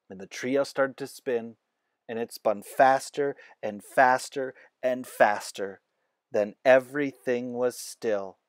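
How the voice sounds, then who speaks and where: Slow-paced man reading a children's story. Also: medium pitch, no echo, studio quality. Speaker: storybook reader. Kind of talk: children's book read-aloud narration.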